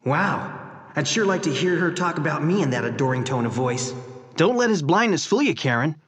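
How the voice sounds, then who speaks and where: An actor playing a fretful, good-hearted office partner, earnest and fussing, in a comic-book drama. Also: male voice, on the high side, expressive, moderate pace, a bit bright, breathy edge. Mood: wistful, loyal. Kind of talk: dramatized comic-book read-along acting.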